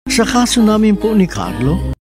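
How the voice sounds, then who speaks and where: A man hosting and narrating a radio drama in a scripted, sentimental, measured style. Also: high voice, huge pitch swings. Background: music.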